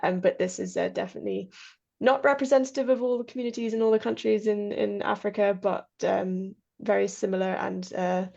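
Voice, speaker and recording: A woman's voice: medium pitch, fairly even intonation, a little fast, creaky, warm tone. A woman answering questions on a panel, hesitant and full of restarts, over a video call. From Kenya. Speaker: researcher and film panelist. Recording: dull lo-fi video call audio.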